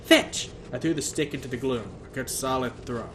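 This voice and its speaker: A man's voice: mid-range voice, quick pace. A man reading a first-person novel aloud as narrator, sometimes stumbling and rereading phrases. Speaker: audiobook reader. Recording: noticeable background noise.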